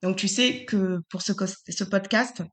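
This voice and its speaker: A woman's voice: mid-range voice, measured pace. A woman hosting a podcast, asking interview questions with hesitant fillers.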